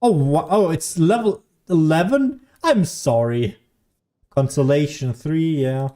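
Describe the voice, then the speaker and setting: A woman's voice: sits low, takes it slowly, mellow. A woman giving casual gameplay commentary, understated and musing to herself as she plays. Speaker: game streamer.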